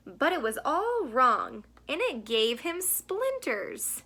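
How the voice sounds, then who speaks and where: Slow, very animated woman reading aloud. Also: high-pitched, breathy edge. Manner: asks the young listeners questions. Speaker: storybook reader. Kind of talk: expressive children's read-aloud.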